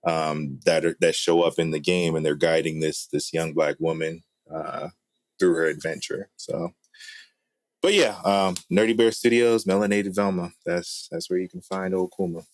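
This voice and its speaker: A man with a low-pitched voice talks casually as a show guest. He sounds conversational and informal, and the recording is dry with almost no room sound. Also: steady tone, moderate pace, dry recording. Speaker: guest, game studio founder. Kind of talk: casual chat.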